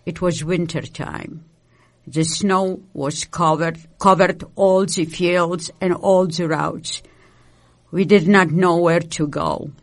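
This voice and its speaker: An elderly woman from Poland, a native Yiddish speaker with a Polish Yiddish accent, giving personal testimony in deliberate, halting phrases. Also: lowish voice, steady tone. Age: 70s or older. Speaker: Holocaust survivor giving testimony.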